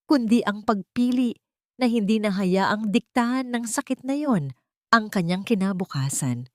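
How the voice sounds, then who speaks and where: A woman storyteller reading a scripted dramatic story in a measured, solemn and sentimental way, phrase by phrase. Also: medium pitch, no echo, clean studio sound. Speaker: storyteller, narrator.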